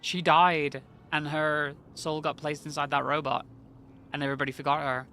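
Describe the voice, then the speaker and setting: A man's voice: a little high, little pitch movement, moderate pace, pushed and bright, soft background music. A man streaming a game and chatting over it with joking asides. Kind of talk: live game stream commentary.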